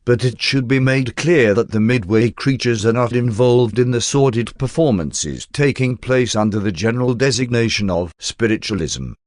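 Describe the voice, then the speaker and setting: A man narrating a scripted text in a measured, formal way, like an audiobook reader. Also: medium pitch, no echo, studio quality.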